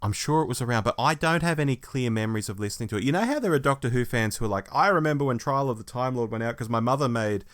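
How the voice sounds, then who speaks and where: A man's voice: medium pitch, lively intonation, fast talker, hardly any pauses, fairly bright tone. A middle-aged Australian man co-hosting a podcast in a casual, conversational review style. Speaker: podcast co-host.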